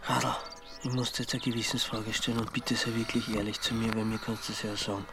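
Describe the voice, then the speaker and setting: A man's voice: fairly low pitch, level tone, quick pace, over music, natural voice. An actor playing a hapless, hungover young Austrian man in a radio drama, speaking plaintive colloquial dialect. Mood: pleading, conspiratorial.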